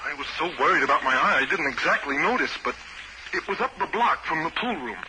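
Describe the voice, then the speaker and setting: An actor playing an anxious, defensive young suspect in a 1950s radio drama. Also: male voice, on the high side, animated, brisk, close to an everyday voice. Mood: uneasy. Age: young adult. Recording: very noisy old radio recording.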